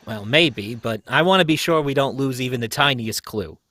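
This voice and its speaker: Man acting a stern police inspector, forceful. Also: medium pitch, expressive, normal speed, no room sound. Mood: commanding. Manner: commanding, clipped orders. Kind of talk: old-time radio mystery acting.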